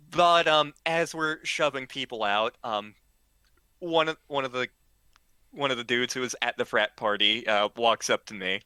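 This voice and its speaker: A man casually telling a comic anecdote to friends in a halting, stammering way. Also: mid-range voice, sharp tone, clean tone, no room sound. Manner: halting and stammering, acts out dialogue. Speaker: storyteller.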